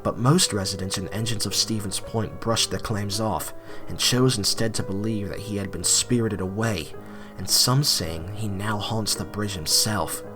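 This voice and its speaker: A man's voice: lowish voice, steady tone, normal speed, hardly any pauses, over music. A man narrating a scripted, dramatic story for an audio drama.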